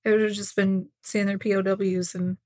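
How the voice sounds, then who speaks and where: A woman co-hosts a true crime podcast in a casual, chatty storytelling style. Her voice sits at a middling pitch and is recorded close and dry. She slips into quoted lines as she tells the story. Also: flat delivery, normal speed, warm tone, no echo. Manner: informal, conversational storytelling with acted-out quotes. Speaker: podcast co-host.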